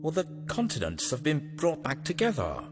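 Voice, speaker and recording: A man's voice: on the high side, wildly swooping pitch, normal speed. A man playing an eccentric, fretful time traveller who mutters to himself hesitantly and exclaims in an audio drama. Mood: troubled, puzzled. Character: eccentric, fretful male time-travelling scientist, talking to his machine. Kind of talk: fan audio drama acting. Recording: music under the voice.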